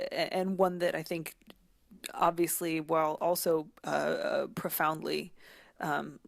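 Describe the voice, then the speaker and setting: A woman giving conversational analysis as a guest commentator, thoughtful and halting as she searches for words. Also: low voice, creaky, no echo, clean studio sound.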